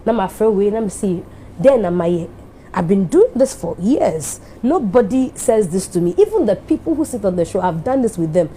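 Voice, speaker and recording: A Ghanaian woman speaks with feeling as she looks back and thanks the people around her. Her tone is mellow and emphatic, and there is a faint hiss behind her voice. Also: mid-range voice, big pitch swings, normal speed. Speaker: TV show host giving an anniversary speech. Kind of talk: emotional speech mixing English and Twi.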